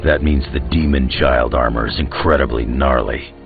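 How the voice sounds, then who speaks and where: An actor playing a huge, gruff, beast-like brawler hero in a video game, with a growly voice. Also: male voice, a bass voice, fairly even intonation, normal speed. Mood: gruff excitement. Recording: muffled phone-like audio under loud music.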